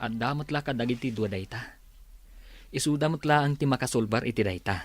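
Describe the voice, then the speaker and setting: A man's voice: mid-range voice, fairly quick, faint music behind, lightly acted. A man playing a patient, level-headed husband in an Ilocano comedy radio drama, with an Ilocano accent. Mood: patient, measured.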